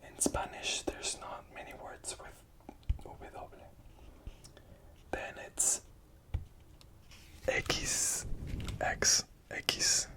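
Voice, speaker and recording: A speaker whispering a gentle ASMR-style language lesson, patiently repeating each letter and phrase and offering encouragement. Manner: whispering softly, repeating each sound slowly. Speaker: Spanish teacher.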